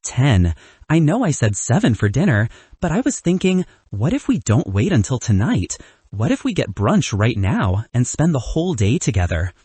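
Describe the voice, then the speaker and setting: Man voicing a wry, husky cynical best man. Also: medium pitch, very animated, moderate pace, warm tone, no echo. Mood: eager, flirty.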